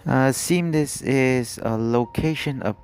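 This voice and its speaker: A man with a mid-pitched voice streams a game and reacts casually as he goes. His delivery is informal and fairly slow, with faint game music behind him. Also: darkish tone, clean tone. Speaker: gaming streamer reacting to a story quest.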